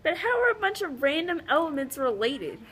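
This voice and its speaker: A woman's voice: sits high, big pitch swings, a little fast, fairly bright tone. A woman playing an inquisitive, slightly cheeky child student in an educational puppet show. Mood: curious.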